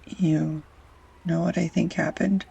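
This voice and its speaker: A woman playing a grumpy, sarcastic young art student who shyly confesses love in an intimate romantic audio roleplay, halting and wry. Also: lowish voice, little pitch movement, vocal fry, breathy edge, exaggerated voice. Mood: soft, vulnerable. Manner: halting, wry, flustered, sighs and soft laughs. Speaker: voice actor.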